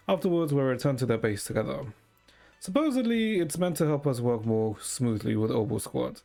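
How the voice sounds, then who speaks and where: A man's voice: mid-range voice, gravelly, dark tone. Man casually reading game dialogue aloud. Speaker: game streamer. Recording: game music faintly behind.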